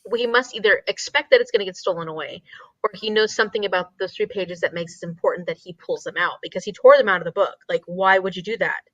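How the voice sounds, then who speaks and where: A woman co-hosting a casual book discussion, explaining things in an animated way and repeating herself for emphasis. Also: mid-range voice, quick pace, no room sound, highs cut off.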